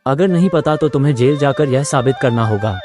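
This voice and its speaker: A man's voice: mid-range voice, brisk, music in the background, close to an everyday voice. A man playing a stern, threatening policeman in a cartoon story, commanding and accusing.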